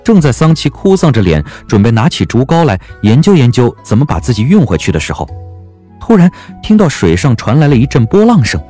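A man narrating an audio novel as scripted dramatic storytelling, with a measured, expressive literary delivery. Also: mid-range voice, faint music behind.

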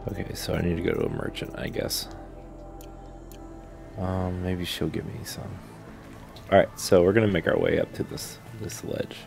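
A man's voice: low voice, flat delivery, normal speed, creaky, pauses often, a little mellow. A man giving casual, laid-back let's play commentary as a gaming host, thinking aloud. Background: faint game music.

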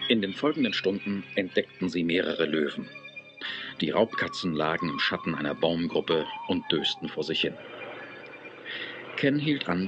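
An actor as the calm narrator of a German children's adventure audio drama, reading scripted narration. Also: male voice, deep voice, dull audio. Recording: noisy 1980s cassette audio.

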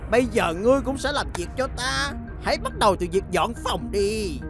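A woman's voice: on the high side, lively intonation, a little fast, over music. A woman voicing a smug, bossy young villainess in a children's cartoon, taunting and mock-sweet.

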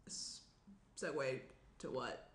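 A woman's voice: rumbling low, slow pace, whispered. A young woman playing an uneasy, evasive engaged writer at a table read, speaking hesitantly and understatedly. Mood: uneasy, casual. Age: young adult. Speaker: actor reading a lead part. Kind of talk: screenplay table read, naturalistic. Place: small indoor room around a table. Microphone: shared USB tabletop microphone. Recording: roomy echo, clear noise.

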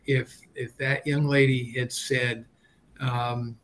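An older man chatting as a panelist on a video call, halting and repeating the starts of his words. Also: level tone, fairly bright tone. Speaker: panelist and experienced pilot. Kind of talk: casual panel chat. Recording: noisy video call with low rumble.

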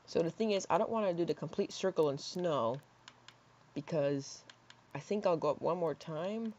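A hoarse young man in his teens rambling casually while playing a building game, with lots of fillers and soft mutters. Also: fairly high pitch, moderate pace. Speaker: gaming YouTuber. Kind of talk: casual gameplay commentary. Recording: slightly dull lo-fi mic.

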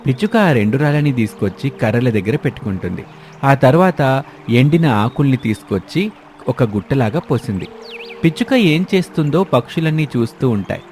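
A man's voice: mid-range voice, a little mellow, a little hiss or hum. A man narrating a scripted children's story as the storyteller.

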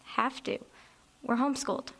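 Timid, high-voiced young girl. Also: moderate pace, gravelly, a bit bright. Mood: guarded. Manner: hesitant, earnest. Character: timid, sheltered homeschooled ten-year-old girl. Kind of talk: radio horror drama acting.

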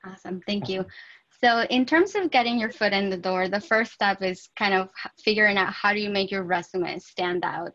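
A woman's voice: medium pitch, normal speed, fairly bright tone. A woman moderating a webinar panel, upbeat and introducing the questions. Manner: upbeat, says "awesome" often. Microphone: video call. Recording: muffled video call audio.

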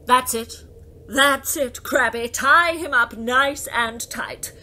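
A woman's voice: sits high, slow pace, bright, forceful tone, full character voice. A woman playing a gleeful, bossy, scheming devil in a comic audio drama, theatrical and gloating.

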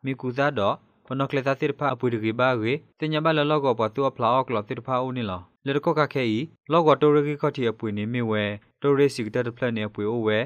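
A man's voice: mid-range voice, fairly quick, hardly any pauses, no room sound, highs cut off. Dry-sounding man reading radio news. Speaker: news presenter.

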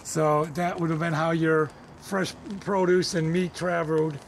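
A man's voice: on the high side, little pitch movement, a little slow, creaky. A middle-aged man guiding visitors through an outdoor rail yard, explaining old railcars in a knowledgeable, matter-of-fact way. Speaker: museum tour guide. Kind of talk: explaining railcars on a walking tour. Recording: outdoor noise.

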